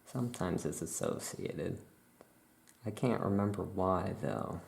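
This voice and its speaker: A performer voicing a forgetful, easygoing witch shopkeeper in a soft-spoken, halting ASMR roleplay, rambling absent-mindedly. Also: sits low, vocal fry, heavily acted. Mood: absent-minded, relaxed.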